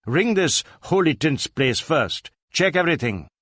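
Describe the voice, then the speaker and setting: Man as a sharp, barking police superintendent. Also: fairly high pitch, very animated, unhurried, slightly rough, dry recording, clean studio sound, voice pushed up, exaggerated voice. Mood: barking, urgent. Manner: sharp, snapping, commanding. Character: brisk, barking, suspicious Indian police superintendent. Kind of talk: audiobook character acting.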